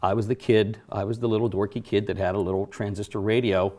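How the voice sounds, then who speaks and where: A man's voice: on the low side, fairly even intonation, quick pace, dark tone. A middle-aged man from western Pennsylvania, a racing announcer guest, reminiscing in casual studio chat with fillers and self-corrections. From Johnstown, Pennsylvania area.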